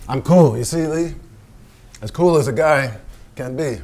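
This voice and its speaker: A man's voice: on the high side, a little slow, a little mellow. A man acting a brash, insecure, foul-mouthed American male painter in a theatrical staged reading. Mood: cocky. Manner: swaggering, needling, repetitive, profane. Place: staged reading in a barn venue.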